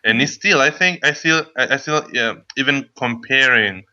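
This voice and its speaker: A man's voice: medium pitch, moderate pace, bright, forceful tone, muffled recording. A man in his thirties from Venezuela, a podcast listener answering questions, hesitant and full of ums and restarts. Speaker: guest listener. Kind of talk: casual podcast Q&A.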